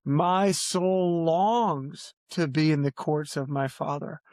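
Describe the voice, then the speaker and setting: A man's voice: a little high, takes it slowly, darkish tone, no room sound, clean studio sound. A man talking earnestly as an author guest on a podcast, repeating phrases for emphasis. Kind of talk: earnest podcast interview talk.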